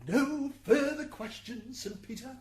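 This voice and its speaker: A woman's voice: high-pitched, unhurried. A woman acting a fussy, stammering defence lawyer in an amateur radio drama courtroom. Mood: measured. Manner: stammering, pointed courtroom questioning. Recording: hissy amateur recording, background noise.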